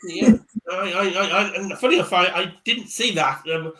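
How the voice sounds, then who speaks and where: A man speaking over a video call, his voice a little high and very bright. He talks casually about books, stumbling and repeating words, then pushes ahead quickly. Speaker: career coach guest speaker. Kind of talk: casual chat on a live online panel.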